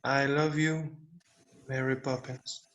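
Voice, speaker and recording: A man's voice: mid-range voice, little pitch movement, takes it slowly, fairly bright tone, muffled recording. A man playing an earnest, affectionate young boy in an amateur script read-through. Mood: anxious, affectionate.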